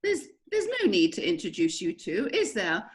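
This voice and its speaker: A woman playing a passionate aristocratic widow in an amateur play reading, with theatrical, drawn-out delivery. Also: on the low side, huge pitch swings. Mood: brittle hostess. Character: melodramatic aristocratic lady of the manor, passionate widow.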